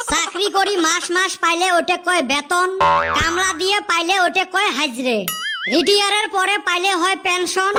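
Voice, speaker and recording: A woman voicing a cheeky, argumentative village child in a comedy cartoon, mocking and quarrelsome, in a northern Bengali dialect of western Assam. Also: very high-pitched.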